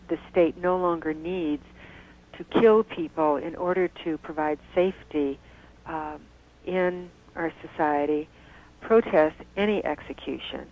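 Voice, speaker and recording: Woman, spokeswoman, halting careful interview answer, hesitant pauses, thin phone-like radio sound. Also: low voice. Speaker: church spokeswoman.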